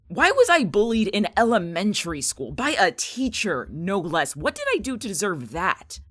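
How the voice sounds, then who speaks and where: A woman's voice: fairly low pitch, dry recording, clean studio sound. A woman acting a blunt, foul-mouthed, guilt-ridden young friend in a naturalistic audio drama. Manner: blunt, sweary, emotionally raw.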